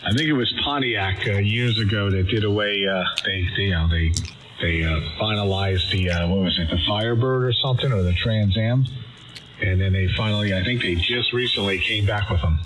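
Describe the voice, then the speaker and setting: A man chatting casually over amateur radio in a hesitant, halting way. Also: on the low side, noisy background.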